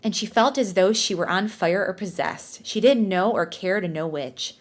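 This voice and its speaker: A woman's voice: mid-range voice, normal speed. A woman co-hosting a comedy podcast, reading fan fiction aloud and chatting casually with playful, wry asides.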